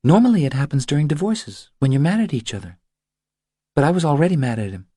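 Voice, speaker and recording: Casting: male narrator as anxious, stubborn woman, lifted husky pitch, lively intonation, earnest pleading, audiobook dialogue. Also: fast, no echo, studio quality. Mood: confiding, rueful. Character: anxious, stubborn woman confiding her troubles.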